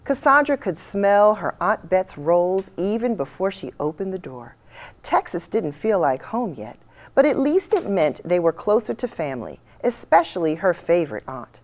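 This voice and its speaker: A woman reading a children's picture book aloud with expressive storytelling delivery. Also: fairly low pitch, moderate pace. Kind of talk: storybook read-aloud narration.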